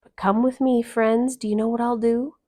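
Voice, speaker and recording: Storybook role: slick, cheerful male fix-it trickster, played by a woman, high-pitched, persuasive salesman's patter. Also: level tone, moderate pace, a little mellow, close to an everyday voice. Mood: wily persuasion.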